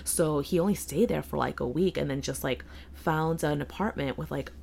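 A woman's voice: low voice, normal speed, vocal fry, a little mellow, a little hiss or hum. A woman in her thirties chatting casually and telling a family story, full of fillers, restarts and quoted asides. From United States. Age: 30s. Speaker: guest. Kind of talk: casual conversation, personal storytelling.

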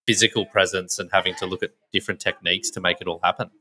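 A man hosting a podcast, asking questions in a relaxed, chatty way with plenty of fillers. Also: sits low, rapid-fire, poor audio. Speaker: podcast host. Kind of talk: casual podcast interview.

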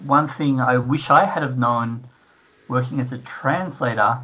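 A man working as a translator, explaining his work in a relaxed, casual interview. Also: mid-range voice, a little slow, slightly rough, highs cut off.

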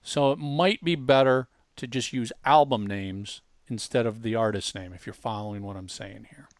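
A man hosting a casual software walkthrough, explaining informally and speaking straight to the listener. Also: medium pitch, normal speed, no room sound. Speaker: host demonstrating software.

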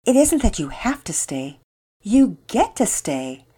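A woman voicing a warm, comforting mother who speaks reassuringly. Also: lowish voice, sing-song, normal speed. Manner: soothing, reassuring.

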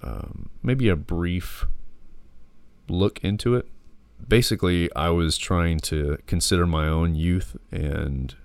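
A man in his thirties with a deep, gravelly, hoarse voice, rambling reflectively in a casual solo podcast. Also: takes it slowly, dry recording. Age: 30s. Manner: rambling, reflective, many fillers. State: hoarse from a respiratory infection. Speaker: podcast host.

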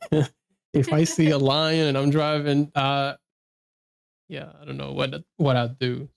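A man's voice: a little high, flat delivery, a little slow, vocal fry. A man interviewing a guest, asking loose, rambling questions full of fillers and easy laughs.

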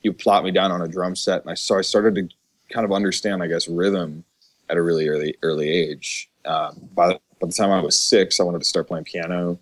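American guy, low deep voice, musician chatting on a podcast, earnest and easygoing. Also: steady tone, brisk. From Chicago, United States. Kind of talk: casual interview chat.

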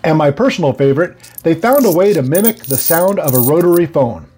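A man's voice: on the high side, animated, brisk, dark tone, a little hiss or hum. A man narrating a scripted news story as a reporter.

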